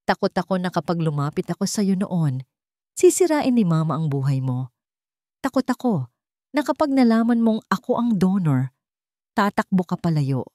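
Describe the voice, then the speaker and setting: A woman plays a wealthy son in an audio romance drama, defiant and anguished, with a Filipino accent. His declarations are emphatic and swing widely in pitch. The sound is dry and close. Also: warm tone, dry recording. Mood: anguished, pleading.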